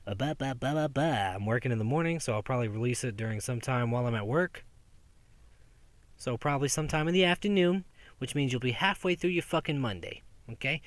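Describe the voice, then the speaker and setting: A young man talks in a loose, profane ramble, full of sarcasm and asides. He speaks at an ordinary pitch for a man. His voice is recorded dry and close, outdoors. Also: no echo. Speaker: podcast host. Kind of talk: rambling casual monologue to camera. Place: outdoors in daylight.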